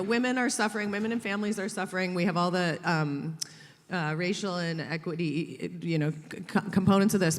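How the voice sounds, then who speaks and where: A woman's voice: medium pitch, a bit bright, in a large hall. A woman moderating a conference panel, friendly and loose in her delivery, handing questions to the speakers. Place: conference stage in a large hall.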